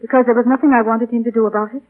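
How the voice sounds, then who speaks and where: Woman acting brave lovelorn young actress, wistful, theatrical pauses, vintage radio drama, phone-like narrow sound. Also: fairly high pitch, steady tone, lightly acted. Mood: wry, resigned. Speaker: radio actor. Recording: thin, band-limited 1940 radio broadcast.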